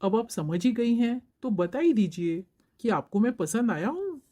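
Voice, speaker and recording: A young cartoon suitor acted by a woman, with a mellow, relaxed tone. He is courteous and eager to please. He gives way to requests politely. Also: on the high side, measured pace. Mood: courteous, playful. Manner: polite, accommodating. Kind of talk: Hindi cartoon moral-story voice acting.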